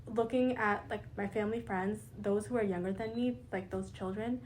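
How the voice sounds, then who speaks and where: A young Canadian woman talks casually and earnestly, trailing through "like" and "um" as she gathers her thoughts. Her pitch sits in the middle range, and a faint hiss of noise runs under the recording. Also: fairly even intonation, moderate pace, darkish tone. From Pakistani family, raised in Canada. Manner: lots of "like" fillers, earnest, sympathetic. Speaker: podcast guest. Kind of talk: casual conversation.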